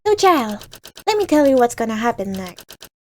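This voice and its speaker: Woman playing a stern, high-voiced merciless female leader. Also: big pitch swings, normal speed, darkish tone, faint music behind. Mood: scornful. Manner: commanding, scornful.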